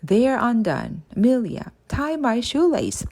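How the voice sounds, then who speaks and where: A woman's voice: a little high, wildly swooping pitch, measured pace, creaky, a little mellow, pitched above the performer's own voice, heavily acted. An imperious male villain from a children's audiobook, acted out by a woman. He is a stern workhouse master with a dry, haughty manner and a hot temper that tips into shrieking when he is thwarted. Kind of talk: audiobook character voice.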